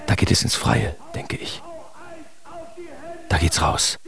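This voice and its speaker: An actor playing a German artist who tells of his absurd nightmare in a wry, uneasy way, as theatrical first-person radio drama narration with a gravelly voice. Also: low-pitched, slow pace, a crowd in the background, full character voice. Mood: uneasy, puzzled. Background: music behind the voice.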